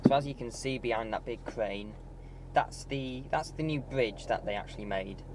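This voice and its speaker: A man's voice: mid-range voice, normal speed. A man giving a casual, rambling driving tour from inside his car, with many ums and restarts. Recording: loud car engine and road noise.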